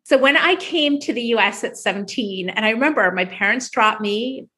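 A woman with a Jamaican accent, a physician guest, telling a lively personal story in an interview. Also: a little high, moderate pace.

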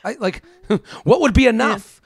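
Man in his thirties, gravelly, higher-pitched voice. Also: unhurried, dry recording. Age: 30s. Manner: animated quoting of imagined speakers, rhetorical questions. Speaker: podcast co-host. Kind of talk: casual advice chat.

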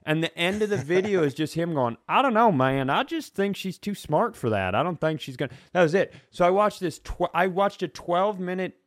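A man's voice: high voice, animated, a little fast, hardly any pauses, dry recording, studio quality. A man telling stories casually on a comedy podcast, rambling and self-mocking, with loose, sweary delivery.

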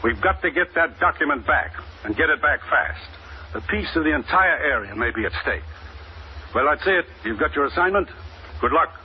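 An actor playing a grave, businesslike American government commissioner in a 1950s radio drama, speaking with clipped authority. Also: male voice, a little high, expressive, moderate pace, lightly acted. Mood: urgent. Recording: muffled, hissy old radio recording.

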